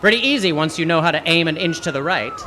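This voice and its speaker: An actor playing a hard-boiled, wisecracking detective who narrates and talks with dry wit in a live old-time radio noir. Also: male voice, on the high side, fast, background noise, lightly acted. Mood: cool needling. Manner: dry, deadpan, wry.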